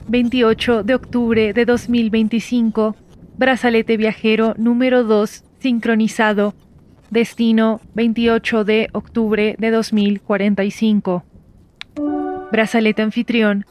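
A woman voicing a polite, impassive computer assistant in an audio drama, formal and deadpan. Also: on the high side, unhurried, natural voice. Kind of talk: scripted system announcements in audio drama.

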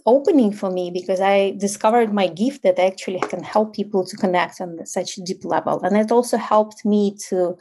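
A woman in her forties from Ukraine with a Ukrainian accent, telling her story as a podcast guest in a reflective way with soft laughs and fillers. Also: mid-range voice. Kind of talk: casual interview storytelling.

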